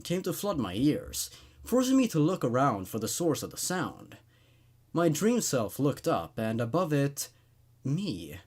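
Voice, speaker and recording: A man hosting a horror story reading, narrating in an expressive, conversational, laid-back way. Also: mid-range voice. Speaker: host reading horror stories aloud.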